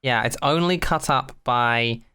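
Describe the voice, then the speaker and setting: A podcast co-host with a bright, mid-pitched voice. The delivery is casual and conversational, with a wry, jokey streak, as in a relaxed review of a sci-fi show. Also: measured pace, clean tone.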